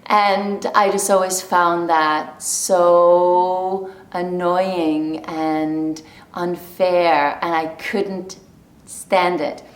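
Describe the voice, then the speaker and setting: Unhurried Norwegian-raised woman vlogging. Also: lowish voice, reverberant. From Norway. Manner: chatty, animated storytelling with laughs. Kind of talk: casual talk to camera.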